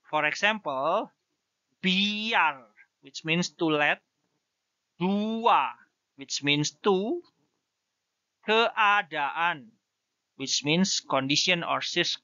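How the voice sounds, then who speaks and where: A man's voice: a little high, sharp tone, no room sound. A man with an Indonesian accent whose first language is Indonesian, teaching pronunciation from slides and spelling out example words one by one. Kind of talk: slide-based pronunciation lesson. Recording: dull lo-fi dry recording.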